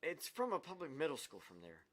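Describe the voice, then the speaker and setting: A woman's voice: deep voice, huge pitch swings, brisk, a little hiss or hum, pitched above the performer's own voice. A woman voicing an easygoing male detective in a visual novel, informal and coaxing. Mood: explaining.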